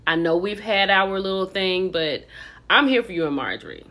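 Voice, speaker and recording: A woman's voice: medium pitch, lively intonation, moderate pace, sharp tone. A woman in her thirties with an American accent, giving a casual, conversational and opinionated online recap with emphatic key words. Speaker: online gossip commentator. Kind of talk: casual vlog recap and commentary.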